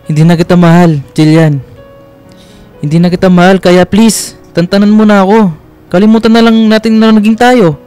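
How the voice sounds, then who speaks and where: Man acting cold young boyfriend, radio drama, higher-pitched, mellow, firm, restrained, music behind. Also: steady tone, normal speed, clean tone, lightly acted. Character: cold, resolute young boyfriend ending a romance. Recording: music bed under the voice.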